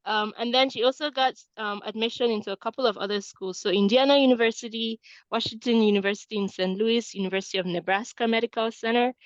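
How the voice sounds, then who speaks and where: A woman's voice: mid-range voice, no echo, highs cut off. A woman in her thirties from Cameroon, presenting a webinar as an admissions coach and often adding "right?" to her points. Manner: peppers points with tag question "right?".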